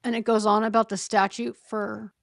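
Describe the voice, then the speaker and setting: A hoarse woman reading a court filing aloud and pausing to think aloud between passages. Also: medium pitch, flat delivery, no room sound, studio quality. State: hoarse, losing her voice. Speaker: true-crime commentator.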